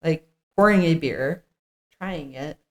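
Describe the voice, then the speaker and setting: Lower-pitched woman, casual podcast co-host. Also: takes it slowly, a little mellow. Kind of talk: casual co-hosted podcast chat, partly read from notes.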